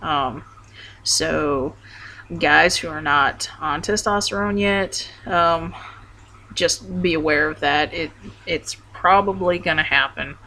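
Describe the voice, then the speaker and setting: A young man chatting casually to camera, taking his time and halting often with ums and false starts. His voice has a bright, sharp edge and wavers between deeper and higher notes. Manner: hesitant, rambling, full of ums and restarts. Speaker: vlogger. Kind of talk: casual video update.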